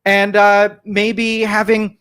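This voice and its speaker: High-voiced rambling man. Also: monotone, slow pace, a bit bright, clean tone. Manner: rambling, argumentative, many restarts and mock quotes. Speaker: talk show host. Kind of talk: opinionated political talk. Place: studio.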